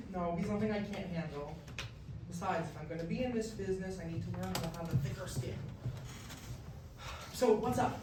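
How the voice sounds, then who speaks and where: A woman's voice: lowish voice, moderate pace, pauses often, close to an everyday voice. A woman playing a weary, evasive male college student in a staged musical reading, casual and hesitant. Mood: wry, tired. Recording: very echoey hall, distant mic, noisy.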